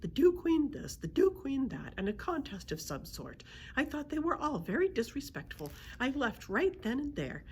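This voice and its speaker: A woman's voice: sits high, very animated, moderate pace, a little mellow, slight background noise, voice pushed up. A woman voicing a small, polite but indignant male dragon with a head cold in a children's storybook. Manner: courteous, fussy, complaining.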